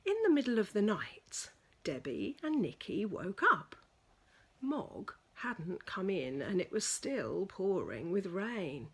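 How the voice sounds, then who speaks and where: A woman reading a children's picture book aloud as a storyteller, with deliberate, dramatic emphasis for young listeners. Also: medium pitch, very animated, husky.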